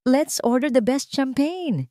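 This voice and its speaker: A woman voicing a smooth-talking, boastful rich man who hides his desperation from his fiancée. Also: high-pitched, lively intonation, slow pace, mellow, no room sound, clean studio sound, pitched above the performer's own voice. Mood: suave, reassuring.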